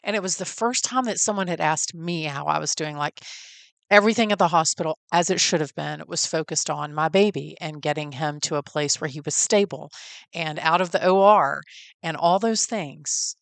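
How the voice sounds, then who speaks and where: Texan woman with a low, smooth voice. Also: moderate pace, no room sound. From Texas. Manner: friendly, folksy, heartfelt. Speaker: podcast host. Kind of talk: personal storytelling.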